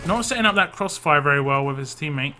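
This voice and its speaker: A man casting a video game match live, tired and losing his voice, with frequent fillers and quick self-corrections. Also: fairly high pitch, animated, noisy background. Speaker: esports caster.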